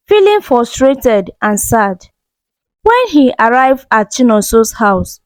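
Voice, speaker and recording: A woman's voice: high voice, no echo, rough recording. A woman telling a scripted story as a dramatic narrator.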